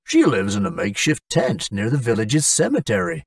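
A man's voice: mid-range voice, huge pitch swings, moderate pace, vocal fry, no room sound, recorded from a distance. A gossipy village man in a cartoon, voiced by a man, disapproving yet pitying. He is exclamatory, with wildly swinging intonation in a dry recording. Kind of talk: English-language cartoon acting.

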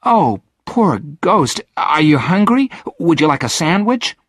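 A man's voice: lively intonation, a little slow, slightly rough, dry recording, studio quality. A man voicing a kind but outspoken young girl in a storybook, earnest and emphatic. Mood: sympathetic. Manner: earnest, emphatic, raised pitch.

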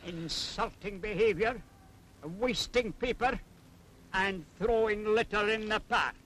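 Lilting, high-voiced Scottish explainer. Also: male voice, takes it slowly, fairly bright tone, heavily acted, rough recording. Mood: matter-of-fact. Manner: didactic, emphatic, theatrical. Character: knowing, lecturing Scottish man explaining taxes simply. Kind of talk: 1940s public information film acting. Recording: 1940s film soundtrack.

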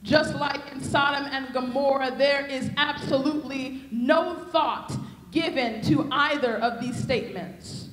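A woman's voice: high voice, unhurried, noisy background. Young woman preaching in an echoey hall. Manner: rhythmic, repetitive preaching cadence. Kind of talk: sermon. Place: convention hall stage with podium. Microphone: handheld mic.

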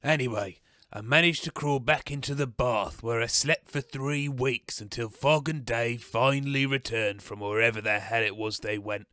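A man playing a miserable, hard-done-by wasteland radio host in a deadpan comic monologue. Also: mid-range voice, moderate pace, no room sound, clean studio sound, close to an everyday voice. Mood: glum, grumbling. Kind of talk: scripted comic radio monologue introducing songs.

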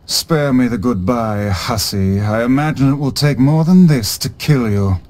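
An actor playing a haughty, pompous old talking magic book in a video game, formal and theatrical. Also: male voice, mid-range voice, a little slow, natural voice. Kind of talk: dramatic video game voice acting. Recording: voice under loud game music.

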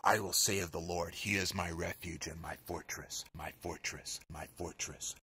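A middle-aged man reads scripture slowly and solemnly, his voice pushed through heavy metal-style vocal processing. It sits extremely low with a flat, droning pitch, yet the tone cuts bright and hard. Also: a little hiss or hum. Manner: slow, solemn, repeated lines. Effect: heavily processed metal-style vocal. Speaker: music producer. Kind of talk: dramatic scripture reading.

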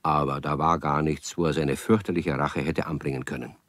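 A man's voice: a bass voice, steady tone, a little fast, a bit bright, no echo, studio quality. A man narrating a children's radio play as a scripted storyteller.

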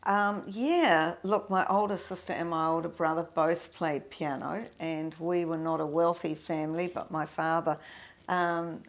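Gravelly older New woman. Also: deep voice, fairly even intonation, a little slow. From New Zealand. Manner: reflective, with many restarts and fillers. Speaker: guest musician. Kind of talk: interview conversation. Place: indoor studio with framed awards.

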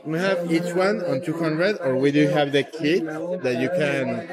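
A man at a market stall makes an eager, persuasive sales pitch in a somewhat high voice. The chatter of a busy crowd carries around him. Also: measured pace. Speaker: market honey vendor. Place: outdoor street market.

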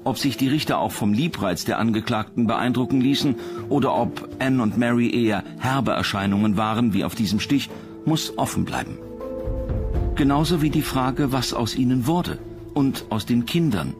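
A man narrates a documentary from a script. His voice sits at an ordinary male pitch, and music plays clearly behind him. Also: lively intonation, moderate pace.

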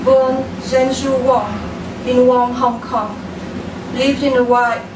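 Slow-reading woman poet, distant and echoey. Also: high-pitched, fairly even intonation. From France. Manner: slow, deliberate, measured reading. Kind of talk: poetry reading. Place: dim room with a lamp, indoors. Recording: echoey room, distant mic, background noise.

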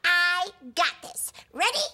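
A woman's voice: very high-pitched, sing-song, takes it slowly, bright, forceful tone, a little hiss or hum, heavily acted. A performer voicing a sweet, eager little fairy girl puppet who chirps and chants. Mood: chirpy. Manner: chirpy, giggly, repeating words in a chant. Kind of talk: puppet educational segment.

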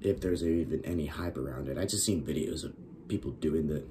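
A young man with a raspy, worn-out voice, chatting casually as host of a reaction video, filling his talk with "like" and laughing often. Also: very deep voice, brisk, dark tone, a little hiss or hum. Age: young adult. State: raspy, losing his voice from shouting. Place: small bedroom with LED lights.

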